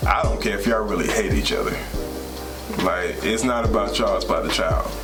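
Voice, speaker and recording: A man's voice: on the low side, a little fast. A young man talking casually and emphatically in a vlog, repeating phrases for stress. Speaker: vlog co-host and new father. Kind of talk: casual storytelling chat. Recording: loud music under the talk. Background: music.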